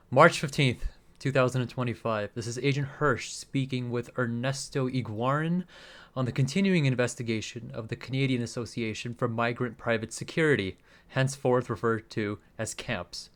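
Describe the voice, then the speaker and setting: A man's voice: mid-range voice, lightly acted. An actor playing a formal, businesslike male agent conducting a recorded interrogation in a radio play. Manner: formal, procedural, controlled.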